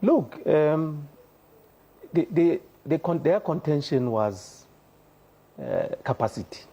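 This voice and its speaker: A man's voice: on the high side, animated, takes it slowly, dark tone, breathy edge. A South African man speaking as a studio guest on a panel, earnest and hesitant with many fillers. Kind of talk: panel discussion.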